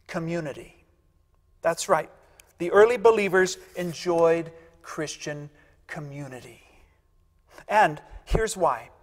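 A pastor in his fifties delivers his sermon with a notably high voice. He takes his time, stressing and repeating key lines as he gestures. His lapel mic catches the long reverb of the church sanctuary around him. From United States. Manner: emphatic, gesturing preacher, repeats key phrases. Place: large church sanctuary, indoors.

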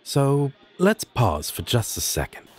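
A man's voice: medium pitch, huge pitch swings, slow, loud music behind the voice. A man playing a laid-back, affectionate demon roommate in a fantasy audio roleplay, casual and playful with a sardonic edge. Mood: playful, teasing.

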